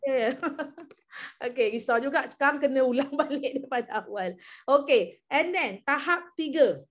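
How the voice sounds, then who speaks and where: A high-pitched young Malaysian woman briefs her sales team over a video call. She repeats the key figures and keeps checking that everyone follows. Her tone is coaxing and encouraging. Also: measured pace. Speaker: MLM team leader presenting an incentive program.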